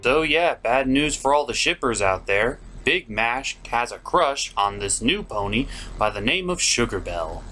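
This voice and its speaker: Animated, theatrical man reviewing a cartoon. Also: mid-range voice, a little slow, few pauses, slight background noise. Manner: theatrical, sarcastic. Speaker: host of a cartoon episode review. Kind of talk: jokey scripted commentary recap.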